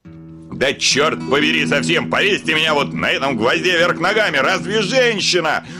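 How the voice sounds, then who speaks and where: An actor playing a hot-tempered, blustering landowner scornful of women in a theatrical, ranting classic Russian radio play style. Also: male voice, on the high side, big pitch swings, moderate pace. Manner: theatrical, ranting, swinging from mutters to shouts. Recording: studio radio play with music behind.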